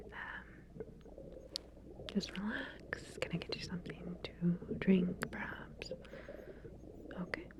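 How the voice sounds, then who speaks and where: Female ASMR performer, low-pitched soft whisper, playing an attentive, reassuring lab scientist in a one-to-one roleplay. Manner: gentle, reassuring, professional as a lab researcher. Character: calm, attentive female scientist running a medical experiment.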